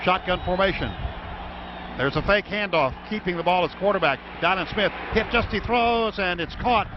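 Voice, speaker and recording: High-voiced man calling football over heavy noise. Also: normal speed. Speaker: play-by-play radio announcer. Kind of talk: live football radio commentary. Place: stadium broadcast booth. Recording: noisy, dull radio broadcast recording.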